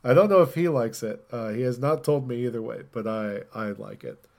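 Guy hosting a podcast, informal kinda rambly chat, medium pitch voice. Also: a little fast, vocal fry, a little mellow. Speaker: podcast host. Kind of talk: casual podcast chat about gaming news.

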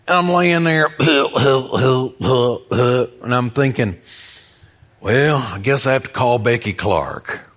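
A man's voice: mid-range voice, slow pace, fairly bright tone. An American man preaching a sermon in a folksy, conversational way, telling stories, repeating phrases for emphasis and asking rhetorical questions.

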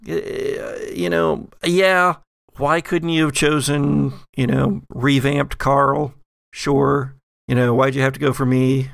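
A man's voice: mid-range voice, takes it slowly, creaky, fairly bright tone, no echo, studio quality. A man voicing a laid-back dead bro, a talking severed head, with slangy, flippant and easygoing delivery in a tabletop game. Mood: chummy ribbing.

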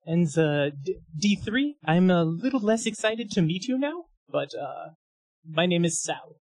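A man voicing a deadpan, understated adventurer in a tabletop game. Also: sits high, a little slow, dry recording, exaggerated voice. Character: dry, understated male adventurer. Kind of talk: in-character roleplay.

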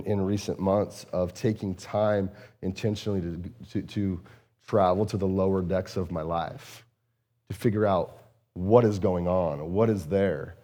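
A man with a low, warm voice gives a casual, earnest sermon as a pastor. He restarts phrases and leans on fillers, and his voice sounds a little strained and hoarse. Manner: earnest, repeats words and phrases, frequent fillers. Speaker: lead pastor. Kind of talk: conversational sermon.

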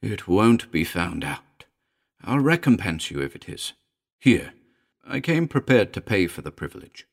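A man's voice: sits low, big pitch swings, unhurried, gravelly, exaggerated voice. A man voicing an agitated, commanding male character in a dramatic audiobook, fervent and emphatic. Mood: insistent. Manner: fervent, emphatic, urgent pleading.

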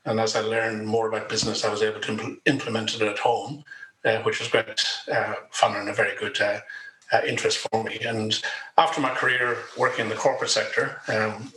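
A man's voice: fairly low pitch, little pitch movement, brisk, sharp tone, echoey room, rough recording. A middle-aged Irish man chatting as a podcast guest, rambling with frequent ums and uhs. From Ireland. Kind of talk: conversational interview.

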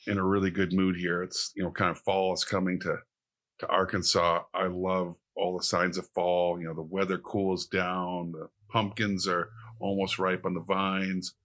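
Man, dry wisecracks, casual co-host, fairly low voice. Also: flat delivery, moderate pace, sharp tone. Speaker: podcast co-host. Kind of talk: casual chat.

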